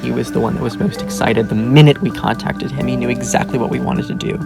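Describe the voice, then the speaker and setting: A man from the American South hosting and narrating a food documentary in a measured, earnest way. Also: mid-range voice, creaky. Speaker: host and narrator. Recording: narration under loud music.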